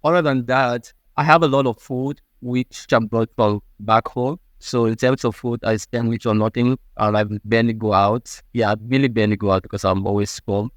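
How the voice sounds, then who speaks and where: A man in his thirties from Cameroon, speaking with a Cameroonian accent and answering interview questions in a halting, earnest way. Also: medium pitch, steady tone, no room sound. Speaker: guest.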